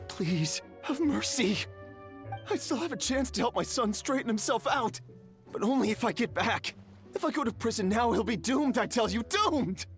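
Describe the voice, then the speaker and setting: An actor playing a desperate, pleading middle-aged con man in a game, with a whiny, stammering, theatrical delivery. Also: extremely high pitch. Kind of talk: dramatic game voice acting. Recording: music behind the voice.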